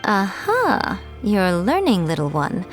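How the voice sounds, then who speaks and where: A woman voice-acting a sly, coy fox-spirit familiar in a video game, with unhurried, smugly playful delivery. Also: medium pitch, wildly swooping pitch. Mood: teasing, coy. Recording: game music behind the voice.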